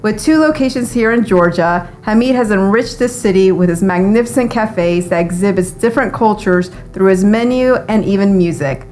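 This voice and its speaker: A woman's voice: medium pitch, normal speed, few pauses, a little mellow, faint music behind. A woman hosting a podcast interview, friendly and chatty, often laughing along with her guest. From United States. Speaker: podcast host, immigration attorney.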